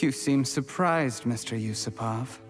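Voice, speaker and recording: A man's voice: mid-range voice, measured pace, over music, lightly acted. A man playing a resentful, calculating, ambitious schemer in a dramatic video game scene. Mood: bitter, defiant.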